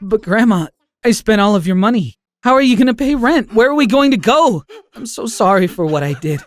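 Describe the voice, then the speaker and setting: A man's voice: lively intonation, a little fast. A man voicing a tearful teenage boy pleading for forgiveness. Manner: pleading, tearful, high-strung.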